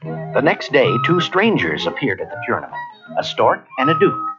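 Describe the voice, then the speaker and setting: A man narrating a children's read-along story in a measured, expressive way. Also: mid-range voice. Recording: dull cassette, faint music behind.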